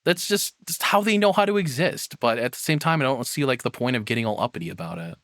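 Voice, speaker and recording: A man's voice: mid-range voice, lively intonation, fast talker, fairly bright tone, dry recording. Rambling man, dry close recording. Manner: rambling, self-questioning, casual swearing. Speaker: streamer reacting to a video. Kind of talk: unscripted live reaction commentary.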